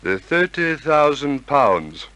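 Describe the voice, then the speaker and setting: An actor playing a menacing, smooth-talking gangster in old-time radio crime drama, deliberate and sly. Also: male voice, mid-range voice, big pitch swings, fairly bright tone. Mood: threatening.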